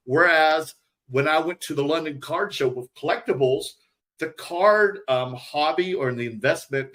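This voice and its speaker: A man's voice: medium pitch, fairly bright tone. A man hosting a podcast in a casual, conversational chat about collecting. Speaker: podcast host.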